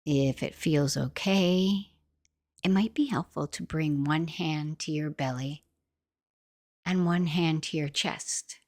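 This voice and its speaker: A woman guiding a meditation in a gentle, soothing, unhurried manner, like soft instructional narration. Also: low voice, no echo, clean studio sound. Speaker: meditation guide.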